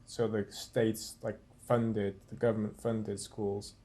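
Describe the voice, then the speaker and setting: A young man from Wales, a podcast guest, explaining things in a relaxed, casual chat. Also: on the low side, slow.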